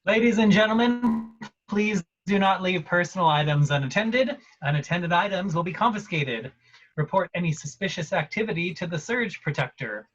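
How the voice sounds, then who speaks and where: A man's voice: sits high, animated, moderate pace, a bit bright, muffled recording. A man playing a formal public-address announcer at a busy transit station in a script reading.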